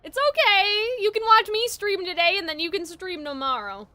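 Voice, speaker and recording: High, bright-voiced woman streaming games. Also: moderate pace, no room sound. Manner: chatty, informal, reactive. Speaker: live streamer. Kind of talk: casual game stream chat and reactions.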